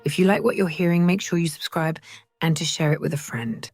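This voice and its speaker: A woman's voice: low voice, a little fast, darkish tone, husky, no echo, studio quality. A woman reading a scripted podcast outro as an announcer. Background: prominent music.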